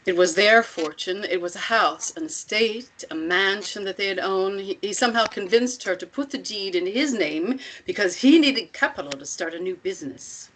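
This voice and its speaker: An older matriarch, acted by a woman leads her commune with calm certainty. Her mid-range voice is measured and deliberate, persuading through pointed questions in a dramatic table read. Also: medium pitch, a little hiss or hum, lightly acted, low-quality recording. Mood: grave, reflective.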